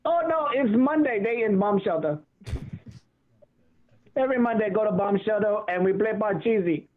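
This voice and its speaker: A man playing a cheeky, deadpan man from Baghdad who speaks mock broken English, on a phone line. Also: sits high, moderate pace, close to an everyday voice. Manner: deadpan joking, broken phrasing.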